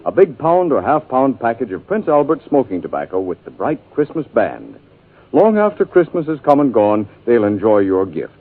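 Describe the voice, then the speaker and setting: A man delivering a folksy, upbeat sales pitch in a 1940s radio advertisement. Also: wildly swooping pitch, moderate pace, faint music behind. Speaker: commercial announcer. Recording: tinny 1940s radio broadcast.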